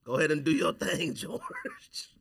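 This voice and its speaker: An American man hosts a casual podcast in a bright, forceful voice. His pitch swings widely as he teases in loose slang, and the sound is dry and close. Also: a little slow, creaky, slightly rough, no echo. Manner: teasing, animated, slangy. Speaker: podcast host. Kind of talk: casual sneaker podcast banter.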